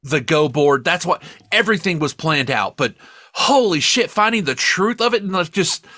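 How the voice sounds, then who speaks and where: A man hosting a podcast, chatting casually about a film in an excitable, rambling, profane way. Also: fairly high pitch, normal speed, dry recording, clean studio sound. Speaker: podcast host. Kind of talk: casual film review chat.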